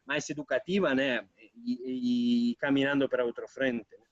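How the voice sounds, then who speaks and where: An Italian man speaking as an interview guest, halting and restarting as he mixes Portuguese with Spanish. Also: mid-range voice, fairly even intonation, no room sound, highs cut off. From Italy. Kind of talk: casual interview over a call.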